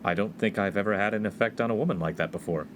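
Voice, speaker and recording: A man's voice: fairly low pitch, very fast, noisy background, lightly acted. A man playing a naive, awkward young college freshman in a 1950s radio comedy, earnest and easily flustered. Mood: flustered, nervous. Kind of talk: comedic radio play acting.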